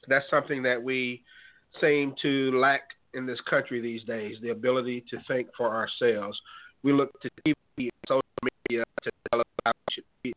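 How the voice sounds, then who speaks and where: A man with a mid-range voice hosts a call-in radio show, talking in an earnest, measured way with the odd filler. He is a little stuffed up from a cold. The sound is thin and tinny, as if it came through a phone line. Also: medium pitch, steady tone.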